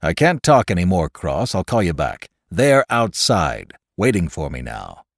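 A man's voice: sits low, huge pitch swings, a little slow, gravelly, dry recording, studio quality, full character voice. A man voicing a tired, embattled man who answers abruptly in an audiobook story. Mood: tense. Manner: weary, abrupt. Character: tired, embattled man arguing with his friend.

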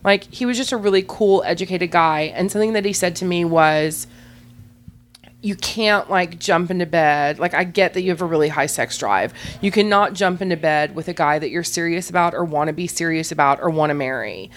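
Low-voiced woman telling candid stories. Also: fairly quick. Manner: rambling, heavy use of "like"; blunt and unembarrassed. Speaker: podcast guest. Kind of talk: candid casual storytelling.

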